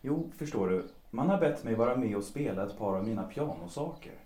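An actor playing a cynical, self-destructive bohemian composer in a period audio drama, world-weary and mocking, with self-pity underneath. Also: male voice, lowish voice, lively intonation, warm tone, close to an everyday voice. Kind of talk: period stage-play audio drama acting in Swedish. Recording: roomy, echoing sound.

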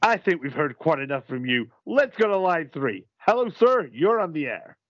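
A man's voice: on the high side, big pitch swings, moderate pace, fairly bright tone, no room sound, dull audio. A man playing a flustered, exasperated wrestling call-in show host in a comedic audio play, politely weary and stammering. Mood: politely annoyed.

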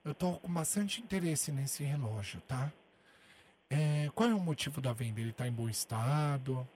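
A man voicing a soft-spoken prank caller with sore ears, who half-whispers and keeps asking the other person to talk quieter. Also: medium pitch, lively intonation, moderate pace, slight background noise, voice pushed down, close to an everyday voice. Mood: hushed.

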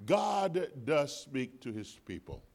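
A man in his sixties with a deep voice, preaching as a guest pastor in church with emphatic repetition that swings from soft to loud. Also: very animated, slow pace, creaky. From United States. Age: 60s. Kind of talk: sermon. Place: church sanctuary.

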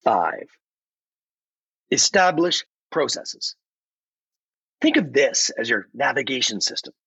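A man's voice: mid-range voice, big pitch swings, slow pace, vocal fry, fairly bright tone, breathy edge, no echo, exaggerated voice. A man voicing an upbeat, folksy AI chatbot advisor in scripted explanatory narration, theatrical and emphatic, on a podcast. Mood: upbeat, instructive. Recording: dull, lo-fi but dry.

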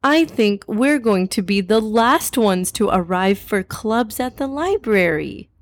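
A woman's voice: medium pitch, animated, measured pace, no echo. A woman voicing an eager, worried young girl who pleads with her dad in a children's story.

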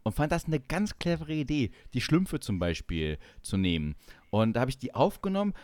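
A man's voice: mid-range voice, very animated, normal speed, darkish tone, dry recording. Man chatting enthusiastically, close dry sound. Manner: rambling, enthusiastic, emphatic. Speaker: podcast co-host. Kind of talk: casual podcast chat with reminiscing.

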